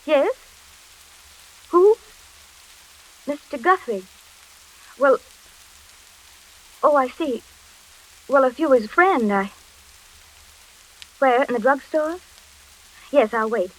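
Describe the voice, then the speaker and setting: A woman's voice: sits high, lively intonation, slow pace, long pauses, low-quality recording. An actress playing an earnest, anxious but determined young woman in 1940s radio drama style. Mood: polite. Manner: earnest, hesitant then insistent.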